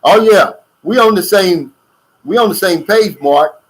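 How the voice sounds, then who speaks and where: Middle-aged guy rambling on a livestream, laid back, lots of uh and you know, voice sits a bit high. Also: a little slow, low-quality recording. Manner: loose, chatty, drawling with fillers and asides. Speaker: YouTube channel host. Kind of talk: casual livestream chat reading viewer comments. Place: lives in the Philippines.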